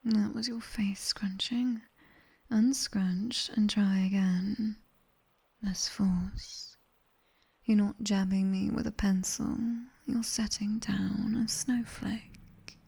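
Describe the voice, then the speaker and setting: Woman acting a demoness tutor, British accent, breathy whisper, very slow pace, teasing, bossy yet soothing, ASMR roleplay. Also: mid-range voice, steady tone, dark tone. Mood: teasing, instructing.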